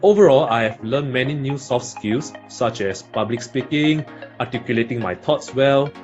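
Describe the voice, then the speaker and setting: A man's voice: medium pitch, expressive, moderate pace, a little mellow, lo-fi sound, soft background music. A young man from Malaysia in his twenties, a university student giving an upbeat, recited video testimonial. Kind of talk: scripted video message.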